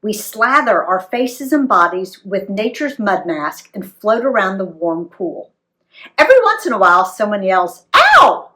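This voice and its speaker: An American woman reads a travel memoir aloud in an animated, dramatic storytelling style for young readers. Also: medium pitch, normal speed, poor audio. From United States.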